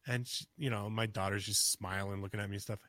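Bright-voiced man telling a story. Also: on the low side, steady tone, fast talker, no room sound. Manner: rambling, full of restarts and "you know"; gets louder and more urgent as the story builds. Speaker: podcast co-host. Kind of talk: casual storytelling of a personal encounter.

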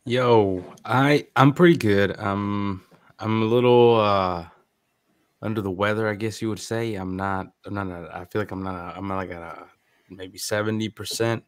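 A man from the Bay Area talks in a fairly low voice. He sounds stuffed up from a coming cold and keeps his chat loose and slangy. The recording is dry, with no room sound around him. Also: steady tone, normal speed, clear, resonant tone, dry recording. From San Francisco Bay Area. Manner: loose and slangy, laughs easily. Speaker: podcast co-host. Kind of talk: casual sports podcast chat.

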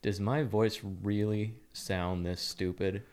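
A man's voice: lowish voice, fairly even intonation, slow pace, darkish tone, clean tone. A man co-hosting a casual podcast, joking dryly with his partner. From Oregon. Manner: dry joking. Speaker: podcast co-host.

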